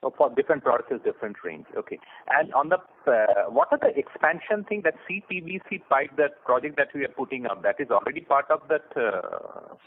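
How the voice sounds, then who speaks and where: A man with an Indian accent, an investor calling in with questions in a halting, informal way. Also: mid-range voice, very animated, a little hiss or hum. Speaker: caller, investor asking questions. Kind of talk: probing questions on a conference call. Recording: thin narrow telephone line.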